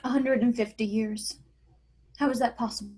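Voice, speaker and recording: A woman playing a desperate, frightened mother in a dramatic screenplay table read, with a husky voice. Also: fairly high pitch, fairly even intonation, moderate pace, a little mellow. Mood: questioning, troubled. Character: desperate, frightened mother pleading for her daughter.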